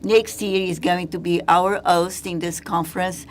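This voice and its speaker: A woman giving simultaneous interpretation at a conference, halting and hesitant. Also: on the low side, fairly even intonation, a little hiss or hum.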